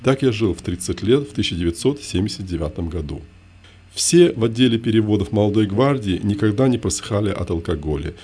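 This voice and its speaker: A man narrating his own memoir in the first person, with a measured, list-like delivery built on short, repeated refrains. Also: on the low side, warm tone.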